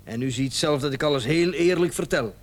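A man's voice: fairly high pitch, brisk, close to an everyday voice. An actor playing a flustered, indignant and evasive male doctor in a radio drama, stammering and repeating himself when agitated. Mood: earnest, recounting.

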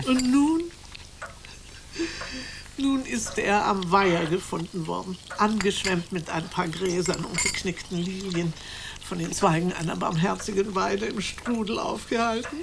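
A woman's voice: medium pitch, a little slow, creaky, background noise. An actress playing a gushing aristocratic countess who laments her dogs with theatrical sighs, in a radio drama. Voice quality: theatrical, exclamatory, sighing.

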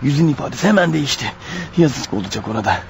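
A man's voice: medium pitch, lively intonation, fast, creaky, crowd noise. An actor playing a desperate, wounded killer on the run in a radio drama, agitated, paranoid and muttering to himself as his strength fails. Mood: tense, irritated. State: weak, bleeding, fighting off sleep. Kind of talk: radio drama acting with inner monologue. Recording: dull radio recording with faint noise.

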